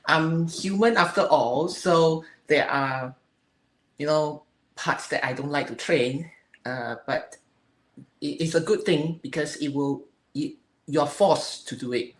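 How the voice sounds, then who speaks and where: A woman from Singapore with a Singaporean English accent, answering interview questions earnestly and haltingly, searching for her words. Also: low voice. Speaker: interview guest, physique competitor. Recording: echoey room, faint ambient noise.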